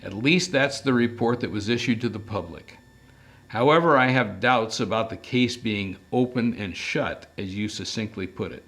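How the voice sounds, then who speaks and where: A man's voice: fairly low pitch, normal speed, a bit bright. Man reading as a priggish, fussy English professor. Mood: earnest, worried. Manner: formal, wordy, fussy. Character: priggish, anxious male English professor on telephone.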